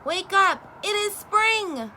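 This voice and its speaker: A woman voicing an eager, cheerful male frog in a storybook, with high, loud calling. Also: expressive, slow pace, slight background noise, heavily acted.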